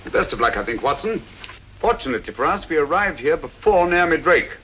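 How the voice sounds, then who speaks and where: An actor playing a shrewd, courteous detective who questions people crisply and with authority in an old detective drama. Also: male voice, fairly high pitch, very animated, brisk, pushed and bright, muffled recording. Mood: brisk. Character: shrewd, commanding male private detective, courteous and probing. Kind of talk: old-time detective film acting. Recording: old soundtrack with background noise.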